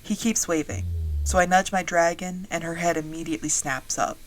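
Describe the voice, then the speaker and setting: Woman acting a measured, low-key dragon-riding soldier. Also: steady tone, soft background music, lightly acted, low-quality recording. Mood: alert. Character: wry, battle-trained fantasy woman soldier who rides dragons. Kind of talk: first-person audio drama narration.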